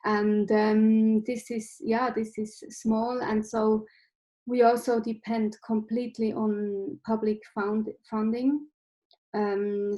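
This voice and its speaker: A woman's voice: medium pitch, dark tone, poor audio. A woman in her forties from Switzerland, a film director speaking on a video call from a room at home with bookshelves, thoughtful and full of restarts. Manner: thoughtful, with many restarts and fillers. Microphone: laptop webcam video call.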